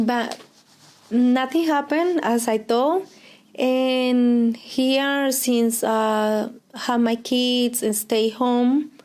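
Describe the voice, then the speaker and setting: Slow-talking Colombian woman, higher voice. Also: steady tone, a little mellow. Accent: Colombian Spanish. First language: Spanish. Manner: hesitant, many fillers, laughs often. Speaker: interviewee, preschool Spanish teacher. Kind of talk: casual interview.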